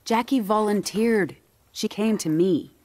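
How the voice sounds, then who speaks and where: A determined middle-aged female commander played by a woman, frank and earnest. She speaks directly at a medium pitch, in the natural style of a game cutscene. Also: measured pace, a little mellow, close to an everyday voice. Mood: earnest, urgent. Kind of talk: naturalistic video game acting.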